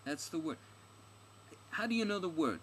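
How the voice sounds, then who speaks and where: A man's voice: a little high, big pitch swings, normal speed, voice pushed up. Guy doing a pushy warrior woman from a fantasy tale, raised voice, blunt and sarcastic, noisy audio. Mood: impatient, pushy. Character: brash, foul-mouthed, impatient fantasy warrior woman. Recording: clearly noisy recording with ambient background.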